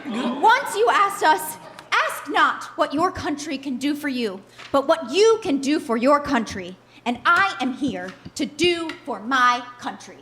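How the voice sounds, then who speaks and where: A woman playing an assertive, excitable wife and mother in a live comedy radio play, with emphatic, theatrical delivery. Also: high-pitched, measured pace. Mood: earnest. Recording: live theater stage, faint noise.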